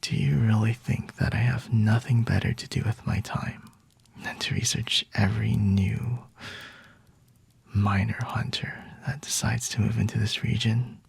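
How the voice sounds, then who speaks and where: Man as a breathy, husky vampire captor. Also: on the low side, steady tone, a little mellow, no room sound, exaggerated voice. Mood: teasing, dry. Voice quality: breathy, husky, often whispering. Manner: unhurried, teasing, condescending, refined wording. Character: smug, flirty male vampire lord, teasing captor. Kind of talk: intimate ASMR roleplay.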